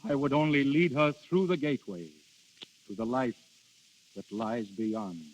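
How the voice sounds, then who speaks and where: An actor playing Death in human guise as a proud, sorrowful immortal lover, in grand, eloquent 1930s radio style. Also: male voice, mid-range voice, steady tone, unhurried, close to an everyday voice. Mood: proud, impassioned. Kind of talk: 1930s radio drama acting. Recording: muffled old 1930s radio recording.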